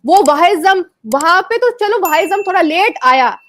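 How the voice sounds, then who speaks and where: A woman from Kashmir, a debate panelist, making her case with forceful rhetorical questions and hesitant fillers. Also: extremely high pitch, animated, a little slow, a little hiss or hum.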